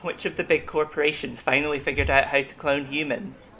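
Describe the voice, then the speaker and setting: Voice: medium pitch, level tone, a little fast, pushed and bright, a little hiss or hum, lightly acted. An actor playing an eccentric, long-lived alien medic in an audio drama, reflective, kindly and wryly self-deprecating. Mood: intrigued.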